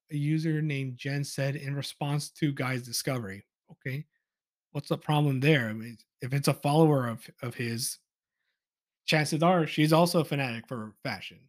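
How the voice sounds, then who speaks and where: A man's voice: on the high side, moderate pace. A man hosting a casual solo podcast, giving opinionated commentary in an informal, exasperated way. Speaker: podcast host.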